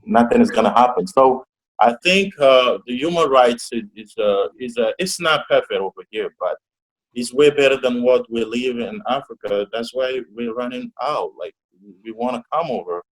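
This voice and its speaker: A young man from Togo talks through his answers on a video call. He hesitates and restarts often, and his pitch sits at an ordinary middle level. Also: moderate pace, low-quality recording. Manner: hesitant, many restarts and fillers. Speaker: interview guest. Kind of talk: casual interview answers.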